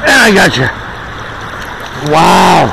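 A man talking casually to himself as he fishes, muttering encouragement and exclamations, with a gravelly voice. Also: sits high, big pitch swings. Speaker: angler filming his own fishing. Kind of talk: casual self-talk while fishing. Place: outdoors on a wooded river. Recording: noisy outdoor recording with river noise. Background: rushing river water.

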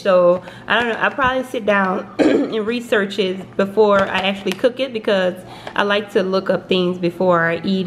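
A young woman talks through her groceries in a loose, chatty way, laughing easily as she goes. She is a college mom filming at home in her kitchen on a close handheld camera. Steady room noise sits clearly under her voice. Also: medium pitch. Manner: chatty, relaxed, laughs often. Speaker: vlogger, college mom of three. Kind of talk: casual grocery haul vlog.

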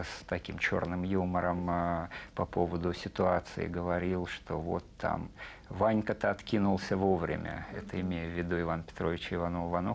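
A man's voice: deep voice, vocal fry. A middle-aged man reminiscing in a documentary interview, with hesitant, broken phrasing. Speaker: film director and artist, interviewee. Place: indoor studio among film sets. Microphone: lapel.

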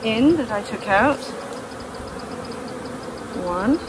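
A woman's voice: fairly high pitch, expressive, drawn-out words. Chatty older woman beekeeper outdoors. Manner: chatty, explains each step as she works; delighted, exclaiming. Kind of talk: hands-on demonstration vlog. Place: outdoors in a garden apiary. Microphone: camera close by, wearing a bee veil. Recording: outdoor noise with buzzing bees.

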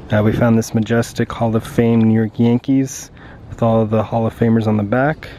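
A man's voice: on the low side, darkish tone. A young man chatting casually as a vlogger and reseller. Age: young adult. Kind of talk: casual vlog chat. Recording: clear outdoor and vehicle noise.

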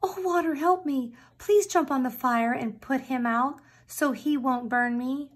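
A woman voicing a small, eager male half rooster who cries out in high, pleading tones. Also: measured pace.

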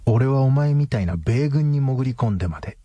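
Man playing a curt detective, animated delivery. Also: mid-range voice, a little fast, no room sound, lightly acted. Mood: defiant. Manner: curt, commanding. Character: tough, cool-headed male private detective, defiant. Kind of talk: radio drama acting.

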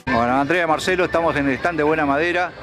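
Higher-voiced interviewer, music around him. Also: male voice, quick pace. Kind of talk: on-location interview introductions and questions. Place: outdoors at a fair stand. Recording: outdoor recording with music.

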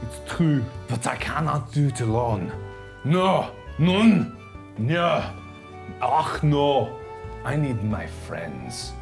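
A man's voice: medium pitch, big pitch swings, slow, vocal fry, faint music behind, pitched below the performer's own voice, heavily acted. A man playing a pompous, theatrical French artist host, in a mock French accent with broken English. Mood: grandiose. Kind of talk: comedic art show hosting.

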